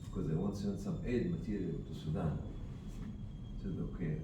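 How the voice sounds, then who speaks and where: A man telling animated anecdotes to a group of staff, acting out the dialogue. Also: low-pitched, fairly quick. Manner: animated, acts out dialogue, laughs often. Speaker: charity founder telling stories to staff. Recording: echoey room from far off with background noise.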